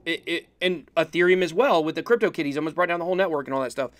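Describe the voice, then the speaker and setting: A man's voice: a little high, racing, no echo. A man in his thirties hosting a casual livestream interview, talking in a conversational, explanatory way. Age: 30s. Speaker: livestream host.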